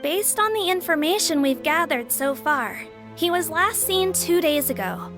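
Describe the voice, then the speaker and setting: An actress playing a thoughtful woman in a video game, speaking slowly and deliberately. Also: extremely high pitch, animated, music in the background.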